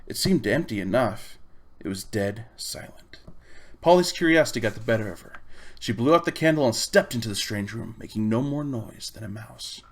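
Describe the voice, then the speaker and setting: Male audiobook reader, medium pitch, expressive storybook narration with measured phrasing.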